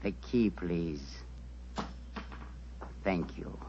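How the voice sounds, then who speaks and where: A man's voice: deep voice, drawn-out words, gravelly, mellow, breathy edge, heavily acted. An actor playing a menacing, courteous male villain in 1940s radio drama, with formal, commanding speech. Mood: smug, commanding. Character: menacing, coldly polite male villain with a revolver. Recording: muffled 1940s radio broadcast recording.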